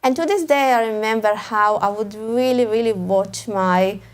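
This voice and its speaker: A woman's voice: on the high side, normal speed. Hesitant woman with a Russian accent. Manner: hesitant, with frequent restarts and small laughs. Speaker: author guest. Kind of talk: live author talk. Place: event hall before a live audience.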